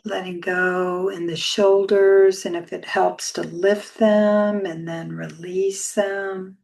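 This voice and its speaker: Slow, gentle woman guiding meditation. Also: medium pitch, flat delivery, vocal fry. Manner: soft, gentle, soothing, unhurried with long pauses. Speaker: yoga meditation teacher. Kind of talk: guided meditation.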